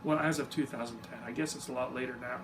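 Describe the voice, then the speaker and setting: A man's voice: mid-range voice, fast talker. Easygoing man, distant mic, noisy. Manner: easygoing, self-deprecating, informal asides. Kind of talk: casual explanatory talk to camera. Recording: distant mic with steady background noise.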